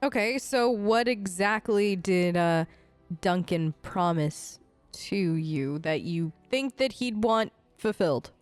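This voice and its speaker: A woman's voice: medium pitch, animated, slow, dry recording, faint music behind. A woman improvising casual, breezy, lightly wry role-play dialogue for a game let's play. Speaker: gamer voicing her player character.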